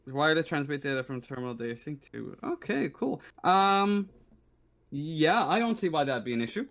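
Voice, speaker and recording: A man's voice: a little high, sing-song, normal speed, creaky, a bit bright. A man running a tabletop game as game master, talking casually in an easygoing, accommodating way. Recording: noisy line with clicks.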